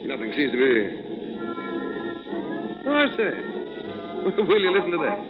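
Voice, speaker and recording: Chipper British adventurer, tinny old radio. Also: male voice, a little high, wildly swooping pitch, a little slow. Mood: cheerful, amused. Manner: jaunty, exclamatory upper-class slang. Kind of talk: 1940s radio adventure acting. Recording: tinny old radio recording, music behind.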